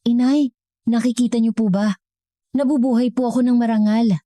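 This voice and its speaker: A woman's voice: fairly high pitch, steady tone, moderate pace, mellow, no echo, studio quality, pitched above the performer's own voice, natural voice. A woman voicing a humbled, remorseful adult son in a dramatic story, respectful and earnest as he pleads with his mother. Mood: tearful, earnest.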